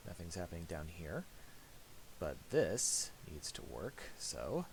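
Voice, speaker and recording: Deep voiced guy muttering thru a software tutorial, kinda halting, hissy recording w clicks. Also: sing-song, vocal fry. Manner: halting, muttering, thinking aloud. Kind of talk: casual unscripted screen-recording tutorial.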